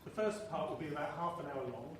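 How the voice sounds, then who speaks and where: A man's voice: a little high, fast. A man presenting a live show, giving an informal introduction from the stage. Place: small theatre before an audience. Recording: very echoey hall, distant, noisy.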